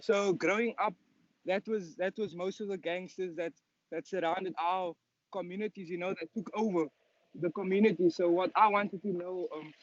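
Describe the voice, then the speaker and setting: High-voiced young Cape Town man. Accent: Cape Town South African. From Cape Town, South Africa. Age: early 20s. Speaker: professional footballer being interviewed. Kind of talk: casual earnest interview answers. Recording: dull lo-fi sound.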